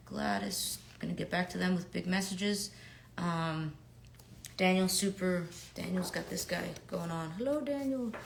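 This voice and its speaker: A woman from New York in her thirties, chatting casually and upbeat with fans during a livestreamed autograph signing, with lots of fillers and quiet murmurs while she writes. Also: mid-range voice, creaky.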